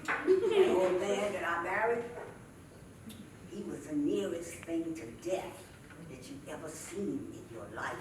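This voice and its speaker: A sly old widow played by a woman works a stage comedy routine in a folksy, slow drawl. Her pitch swings freely as she draws out each wicked line. The recording is very echoey and noisy. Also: sing-song, recorded from a distance. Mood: confiding, mock-innocent. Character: sly, mischievous old female stand-up comic, gleefully wicked widow. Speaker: stage performer impersonating a classic comedienne. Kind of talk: theatrical stand-up comedy monologue. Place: indoor stage performance, distant microphone.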